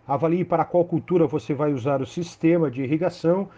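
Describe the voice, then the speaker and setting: Man, Brazilian Portuguese accent, radio presenter, measured scripted read, dark husky tone, dull lo-fi sound. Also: on the high side. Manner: steady, measured reading of announcements.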